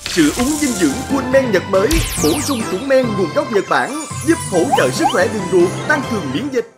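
Woman doing upbeat ad voiceover, highish, loud jingle music behind. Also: big pitch swings, normal speed. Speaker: advert announcer. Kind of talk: upbeat scripted commercial voice-over.

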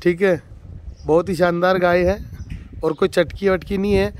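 A high-voiced man from Rajasthan talks up a farm cow in casual, repetitive bursts of praise, his pitch staying flat and level. He speaks off camera in an outdoor farmyard, with faint background noise under his voice. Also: normal speed. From Rajasthan, India. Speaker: dairy farmer showing a cow for sale. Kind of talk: casual spoken livestock showcase.

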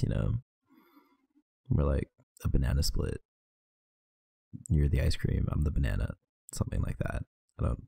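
A man with an extremely deep, gravelly voice murmurs slowly and tenderly into a close mic. His tone is dark and husky, and it sounds tired and affectionate. The sound is dry, with no room around it. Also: no echo. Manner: soft, intimate, affectionate murmuring. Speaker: ASMR roleplay performer. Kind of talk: ASMR boyfriend roleplay.

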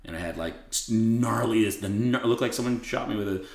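Raspy low guy swearing casually, roomy sound. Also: normal speed. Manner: blunt, swears freely, laughs easily. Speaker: podcast guest. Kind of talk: casual interview chat. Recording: roomy echo.

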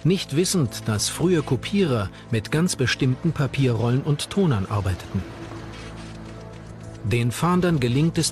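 A man reading scripted true-crime documentary narration. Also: mid-range voice. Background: background music.

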